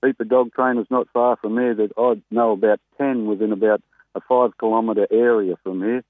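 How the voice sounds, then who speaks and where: A man's voice: fairly low pitch, brisk, no room sound. Australian man on a tinny phone line. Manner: chatty, rambling, excitable about racing. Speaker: greyhound trainer, guest caller. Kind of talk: casual phone interview. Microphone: telephone.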